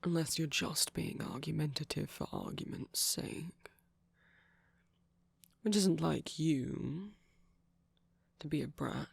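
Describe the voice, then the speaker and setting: Man acting a breathy, soft vampire boyfriend. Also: a little high, animated, no echo, exaggerated voice. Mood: teasing, puzzled. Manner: gentle, intimate, unhurried with pauses. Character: soft, caring vampire boyfriend, gently teasing and soothing. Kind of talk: ASMR roleplay.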